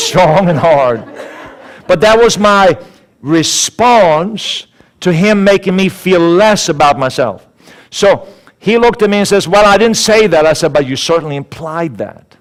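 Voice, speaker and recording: Swedish-accented male speaker, slightly high-pitched, lively storyteller in a sermon. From Sweden. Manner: animated, acts out dialogue, repeats words.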